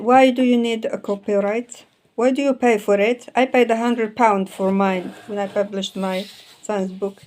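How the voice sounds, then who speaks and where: A woman's voice: medium pitch, darkish tone, a little hiss or hum. Arabic-native woman chatting about books. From Arabic-speaking country. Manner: rambling, repeats "so therefore", self-corrects words. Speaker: self-published author. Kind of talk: casual explanatory talk, showing books.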